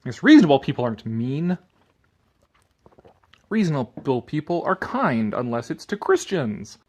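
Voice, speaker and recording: A man's voice: fairly high pitch, very animated, normal speed. A man giving a sardonic commentary and theatrical reading of an article, drifting into rambling asides.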